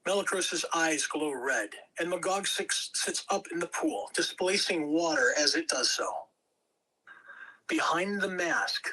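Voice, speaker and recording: Bright-voiced man narrating a script. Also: a little high, measured pace, poor audio. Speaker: narrator at a script table reading. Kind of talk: scripted narration of stage directions.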